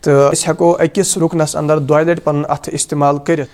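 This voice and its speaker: Kashmiri guy, voice a bit on the high side, doing a hands-on islamic lesson, explains and repeats stuff like a teacher. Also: level tone, unhurried, a little mellow. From Kashmir, South Asia. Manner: instructive, repeats points in two languages. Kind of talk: practical religious lesson, demonstrating and explaining.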